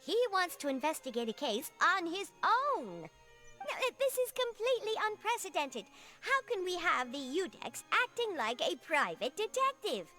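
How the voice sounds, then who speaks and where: A little fantasy game creature, a high, swooping female voice. She is a fussy, officious court aide who flusters easily. Light music sits behind her. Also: very animated, moderate pace, pushed and bright, heavily acted. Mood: flustered, pleading. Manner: exasperated, officious, stammering when flustered. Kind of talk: fantasy video game voice acting.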